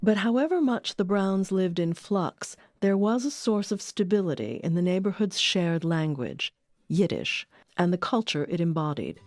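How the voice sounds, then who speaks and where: Female documentary narrator, slightly low register, dry close studio recording, scripted read. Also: lively intonation, moderate pace, a little mellow, dry recording. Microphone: close studio microphone.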